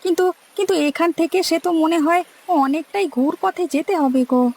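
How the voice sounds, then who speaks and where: A woman voicing an eager, deferential male boatman's helper in a cartoon, creaky, with a questioning, folksy manner. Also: very high-pitched, a little fast, darkish tone. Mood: doubtful, questioning. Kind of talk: cartoon story dialogue.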